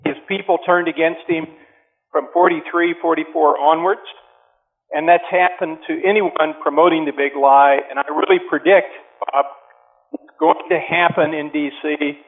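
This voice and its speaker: A man speaking as an interview guest over the telephone, explaining his views in a deliberate, emphatic way with pauses. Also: fairly high pitch, fairly even intonation. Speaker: advocacy group president, interview guest. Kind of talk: phone interview, explanatory opinion talk. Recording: narrow-band tinny phone line.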